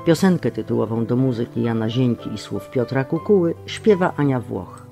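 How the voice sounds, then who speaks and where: A man's voice: mid-range voice, lively intonation, normal speed, creaky. A man working as an announcer, reading scripted radio drama credits. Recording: music under the voice.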